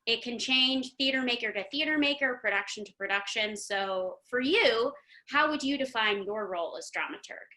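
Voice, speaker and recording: A woman hosting a live online interview in an effusive, excited, chatty manner over a video meeting. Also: on the high side, moderate pace, poor audio. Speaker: host.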